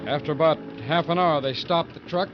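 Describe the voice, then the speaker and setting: An actor playing a wary, level-headed convict in a 1950s radio drama, speaking matter-of-factly. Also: male voice, fairly high pitch, fairly even intonation, brisk, over music, lightly acted. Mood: sober narration. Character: wary, level-headed convict trustee telling his story. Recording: muffled 1950s radio recording.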